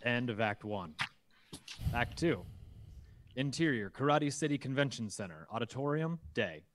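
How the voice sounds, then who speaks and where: A man's voice: medium pitch, expressive, a little slow, fairly bright tone, a little hiss or hum. A man reading scripted stage directions as the narrator of a table read. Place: indoors on a group video call.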